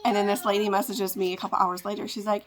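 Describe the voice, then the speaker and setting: A woman with a congested, raspy voice, chatting casually as she packs orders to ship at home, rambling with lots of fillers. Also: medium pitch, level tone, quick pace, noisy background. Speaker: part-time online reseller. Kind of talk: casual ship-with-me vlog chat.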